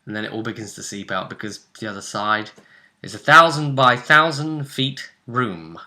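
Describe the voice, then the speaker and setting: A man game mastering, casually recapping a tabletop roleplay session in chatty, animated narration. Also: fairly low pitch, moderate pace.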